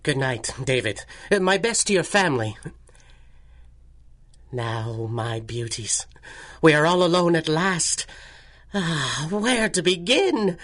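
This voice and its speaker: An actor playing a genteel, absent-minded older museum scholar in a radio drama, speaking formally and hesitantly. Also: male voice, a little high, animated, takes it slowly, long pauses, fairly bright tone. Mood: genial, absorbed.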